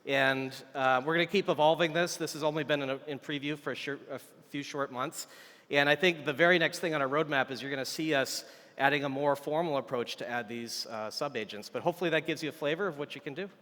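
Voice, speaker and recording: A man with a sharp, bright voice presents a live software demo in a casual, chatty way. He thinks aloud as he works. His voice rings out in a conference hall with long reverb. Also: a little high, fast talker. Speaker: software engineer demonstrating a product on stage. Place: large conference hall stage.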